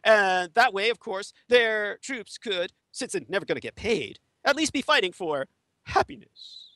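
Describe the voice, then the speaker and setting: Man, first language Chinese, from Taiwan; slightly high voice, dry close-mic sound, lecturing with sharp swings in loudness. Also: expressive, a little slow, dry recording. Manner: emphatic lecturing monologue, voice rising and falling sharply. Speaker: interview guest. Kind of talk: conspiracy talk on an audio interview.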